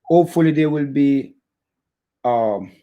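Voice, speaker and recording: A man from Jamaica with a Jamaican accent, hosting a casual football fan chat in a halting, stop-start way and repeating his phrases. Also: on the high side, animated, narrow, tinny audio. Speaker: football fan channel host. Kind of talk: casual chat to live viewers about football.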